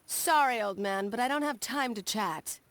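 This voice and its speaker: A woman's voice: on the high side, moderate pace, slight background noise, lightly acted. Woman playing a brusque young company boss. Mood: brisk, dismissive. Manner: brusque, self-assured. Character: brisk, confident young railroad company boss. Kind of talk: video game cutscene acting.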